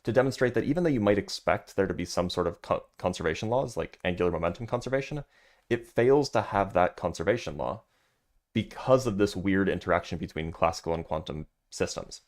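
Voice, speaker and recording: A man with a very low, deep voice talks through a scientific paper on a casual livestream. He reads passages aloud, then drifts into loose, filler-heavy asides to his chat. The tone stays relaxed and conversational throughout. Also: brisk. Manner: loose and chatty, many fillers, answers chat. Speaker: livestream host reviewing a physics paper.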